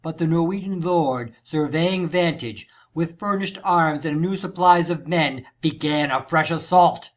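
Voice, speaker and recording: A man's voice: on the high side, measured pace, few pauses, lightly acted. A man playing a wounded soldier reporting on battle in declamatory Shakespearean verse for an audiobook drama. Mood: grim, fervent.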